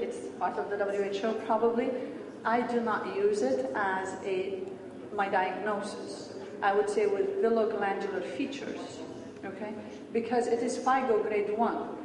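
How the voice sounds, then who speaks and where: A woman from Syria, giving an earnest medical lecture in short explanatory phrases and answering questions in a large hall. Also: mid-range voice. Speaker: pathology lecturer. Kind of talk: conference lecture and Q&A. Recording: echoey hall, distant mic, background noise.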